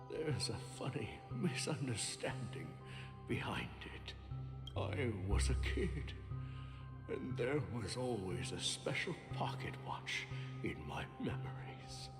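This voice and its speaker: An actor playing a kindly, weary old watchmaker in a video game, speaking in a reflective, measured, wistful way. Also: male voice, on the low side, sing-song. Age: older. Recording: loud game music behind.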